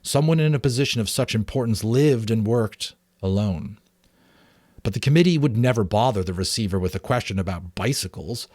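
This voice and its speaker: A man reading a novel aloud as a dramatic audiobook, expressive, with offhand asides. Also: on the low side, a little fast, no room sound, studio quality. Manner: expressive storytelling. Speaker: amateur audiobook reader.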